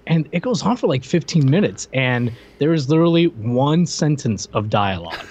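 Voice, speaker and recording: Man, animated podcast co-host, incredulous, slightly muffled lo-fi sound. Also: mid-range voice, moderate pace, breathy edge. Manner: animated, incredulous, laughing asides. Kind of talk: casual chat. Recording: slightly dull lo-fi mic.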